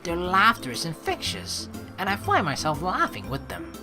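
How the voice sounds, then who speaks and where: A chatty, sweary woman doing casual, expressive let's play commentary and reading narration aloud. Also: on the low side, moderate pace, music in the background. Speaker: let's player.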